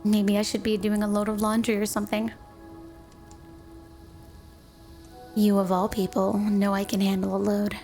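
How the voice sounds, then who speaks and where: A woman's voice: medium pitch, monotone, a little fast, a little mellow, faint music behind, close to an everyday voice. A woman playing a flirty, doting, obsessive snow woman wife in an intimate ASMR roleplay, teasing and coy.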